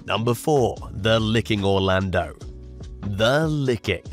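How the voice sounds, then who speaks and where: A man narrating a scripted restaurant countdown in an even, promotional read with odd stresses. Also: lowish voice, slow. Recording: voice-over with faint music.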